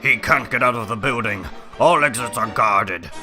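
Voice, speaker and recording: A man's voice: medium pitch, moderate pace, natural voice. A man voicing a stern policeman who barks orders and accusations in a dramatic comic-book reading. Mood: commanding. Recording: roomy echo, loud music underneath.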